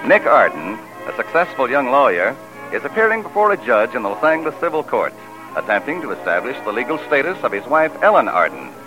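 Animated man announcing vintage radio. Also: mid-range voice, fairly quick, loud music behind the voice, poor audio. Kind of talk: 1940s radio announcing, scripted. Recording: vintage radio broadcast recording.

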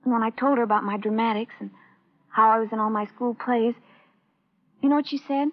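An American actress playing an earnest, starry-eyed high school girl who confides naively in an old radio drama. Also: brisk, close to an everyday voice. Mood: proud, wistful. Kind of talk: 1950s radio drama acting. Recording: dull old radio recording.

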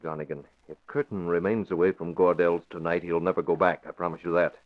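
A man playing a masked vigilante with a fairly low, hard voice who gives clipped, menacing orders. He sounds like a 1940s radio drama, heard through a dull, muffled old recording. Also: fairly even intonation, fast talker, close to an everyday voice. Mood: stern. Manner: curt, menacing, commanding.

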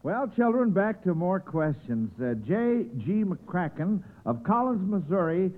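High-voiced jovial American radio quiz host. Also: male voice, lively intonation, unhurried. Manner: jovial, chuckling, folksy, bumbling. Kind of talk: 1940s radio quiz show hosting. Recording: scratchy old radio broadcast, narrow phone-like sound.

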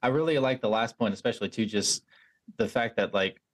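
A man's voice: on the low side, brisk. A man hosting a podcast, reflecting back on what his guest said in a thoughtful, casual way, often restarting his sentences. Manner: thoughtful, reflective, many restarts and "you know"s. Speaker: podcast host. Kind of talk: casual interview chat.

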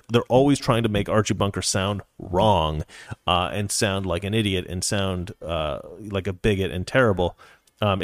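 A man's voice: lowish voice, no room sound, studio quality. A man in his forties hosting a casual livestream, reading viewer comments aloud and talking in a rambling way with many restarts. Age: 40s. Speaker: livestream host reading chat.

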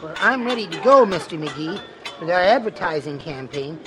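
A man's voice: on the high side, animated, normal speed, crowd noise, natural voice, poor audio. An actor playing a timid little man who boasts earnestly and hesitantly in an old radio comedy. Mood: proud, earnest. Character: timid, meek little man bragging of his strength. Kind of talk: 1950s radio comedy acting. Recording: old radio broadcast with music behind.

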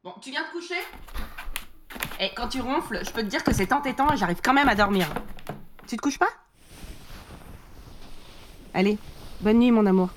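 Woman acting weary affectionate wife, lightly high voice, soft, gently chiding, intimate audio fiction, noticeable noise behind. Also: expressive, normal speed, long pauses, natural voice. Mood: weary, tender. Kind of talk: naturalistic French audio drama acting.

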